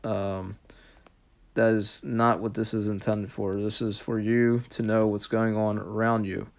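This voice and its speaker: A man's voice: on the low side, flat delivery, unhurried, vocal fry. A man giving a casual how-to voice-over as the host of an app tutorial.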